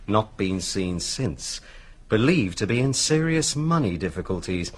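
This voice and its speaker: An actor playing a brisk, self-important police inspector in a radio drama, with an English accent and clipped, businesslike delivery. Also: male voice, on the low side, very animated, husky, full character voice.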